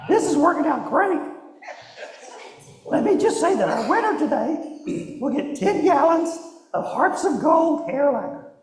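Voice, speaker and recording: A man voicing an excitable, scheming monkey puppet who hosts a game show in a live children's show. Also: extremely high pitch, measured pace, pauses often, full character voice. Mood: gleeful. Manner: hyped-up game show announcer delivery. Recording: echoey church hall, distant mic.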